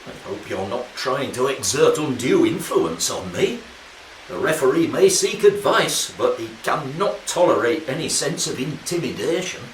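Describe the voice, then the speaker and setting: An actor playing a cautious, principled rugby referee in a radio play, speaking formally and firmly. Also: male voice, mid-range voice, big pitch swings, normal speed, lightly acted. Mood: indignant. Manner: formal, firm, pedantic. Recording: echoey, distant, loud background hiss.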